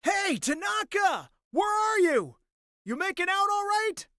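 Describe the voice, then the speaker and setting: A man's voice: extremely high pitch, sing-song, unhurried, pushed and bright, dry recording, clean studio sound, voice pushed up, heavily acted. A man voicing an ordinary young man who calls out in a stammering, alarmed way. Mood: calling out. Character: panicky young boyfriend, an ordinary man calling out.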